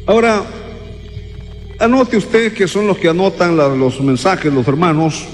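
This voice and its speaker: A man preaching a sermon to a congregation, emphatic and repeating words for stress. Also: on the high side. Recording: long reverb in a hall, faint music.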